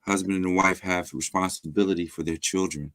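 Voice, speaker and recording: A man, a pastor guest, explaining his views in a measured way with frequent pauses and fillers, often affirming the host. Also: sits low, steady tone, a bit bright, no echo, studio quality. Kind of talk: conversational teaching.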